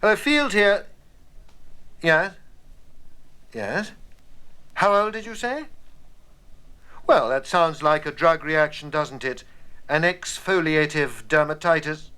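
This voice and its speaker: An actor playing a brisk, courteous male dermatologist at work in an educational radio play. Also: on the high side, expressive, bright, forceful tone, clear, resonant tone, full character voice. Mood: brisk, businesslike. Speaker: doctor in a scripted radio play. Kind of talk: educational radio play acting, dictation and phone calls.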